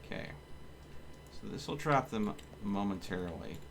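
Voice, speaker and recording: A man with a fairly low voice streams a video game live. He thinks out loud in a casual way and chats to his viewers as he goes. There is clear noise in the recording behind him. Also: animated, moderate pace. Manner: thinks aloud, talking to viewers.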